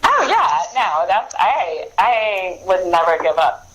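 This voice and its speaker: Middle-aged woman chatting, halting and self-correcting. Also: on the low side, sing-song, slight background noise, poor audio. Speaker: author interview guest. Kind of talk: casual interview chat.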